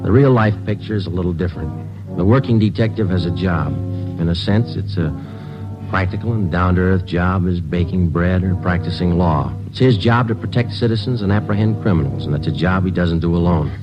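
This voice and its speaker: An actor playing a police detective who narrates a 1950s radio crime drama in a clipped, flat, deadpan manner. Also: male voice, sits low, brisk, over music, lightly acted. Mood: matter-of-fact. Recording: dull lo-fi old radio broadcast.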